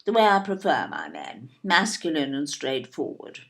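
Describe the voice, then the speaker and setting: An older, upper-middle-class English wife in a radio play. Her voice is low and creaky, swooping widely as she hands down haughty, plummy judgements. She sounds opinionated and disapproving. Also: wildly swooping pitch, normal speed, exaggerated voice. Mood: scornful, opinionated. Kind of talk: audio play acting.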